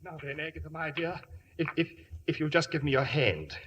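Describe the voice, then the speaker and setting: A man playing a bashful, besotted English lord in an old radio comedy, with an English accent and a halting, polite way of talking. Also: a little high, lively intonation, a bit bright, muffled recording, slight background noise. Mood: bashful wooing. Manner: halting, polite, repeats words.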